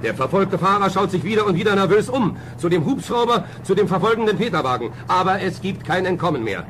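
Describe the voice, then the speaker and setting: Man doing dramatic audio drama narration, bit high pitched, engine noise in back. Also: sing-song, fast, a bit bright. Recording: clear vehicle noise behind.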